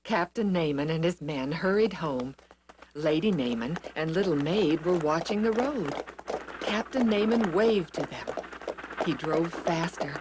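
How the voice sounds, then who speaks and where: A woman narrating a scripted children's story with simple, expressive phrasing. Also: low-pitched, unhurried, noisy background.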